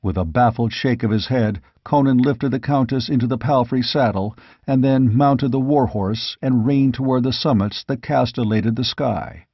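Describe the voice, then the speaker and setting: A male audiobook narrator reads scripted fantasy fiction. His voice sits at a medium pitch. The recording is close and dry, with a slightly dull top end. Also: normal speed, hardly any pauses, husky, no echo.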